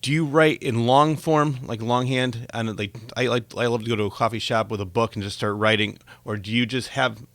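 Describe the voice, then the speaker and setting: A man's voice: mid-range voice, steady tone, normal speed, few pauses, fairly bright tone, no echo. A man hosting an interview show, talking in a casual, chatty and informal conversational way.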